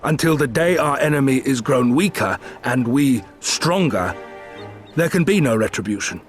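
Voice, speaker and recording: An actor playing a weary, grave pirate leader in a video game, solemn and deliberate with weighty pauses. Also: male voice, mid-range voice, lively intonation, natural voice. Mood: resolute, solemn. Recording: background music.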